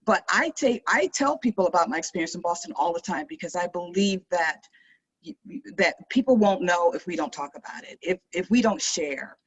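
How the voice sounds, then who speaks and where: A woman's voice: fairly low pitch, lively intonation, fairly quick, husky, dull audio. A woman speaking earnestly in a panel discussion, reflective, often restarting her sentences. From Maryland. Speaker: panel guest.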